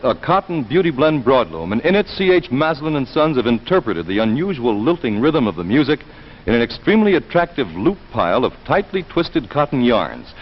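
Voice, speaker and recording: An American man announcing a scripted 1950s sponsor message with polished, enthusiastic salesmanship. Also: mid-range voice. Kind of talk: 1950s broadcast commercial, smooth scripted pitch. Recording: muffled vintage 1950s broadcast.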